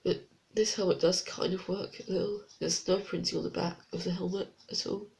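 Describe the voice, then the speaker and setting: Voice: mid-range voice, steady tone, fairly quick, creaky, a little mellow, slight background noise. A reviewer with a blocked, congested nose, chatting casually through a toy set review with unsure, rambling asides. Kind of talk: casual product review vlog.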